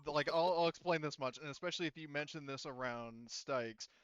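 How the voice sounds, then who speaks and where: A man leads a tabletop roleplaying session as game master. His voice is smooth and clean at a middling pitch, and he talks in a meandering, explanatory way. The sound is dry and somewhat muffled. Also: a little fast, a bit bright, no echo.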